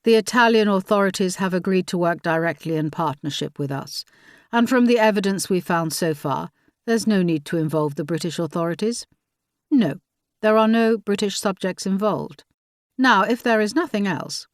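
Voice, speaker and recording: A man's voice: sits high, normal speed, no echo, studio quality. A woman voicing a brusque, dismissive middle-aged French police commissioner who talks in a French accent and cuts others off. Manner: brusque, interrupting.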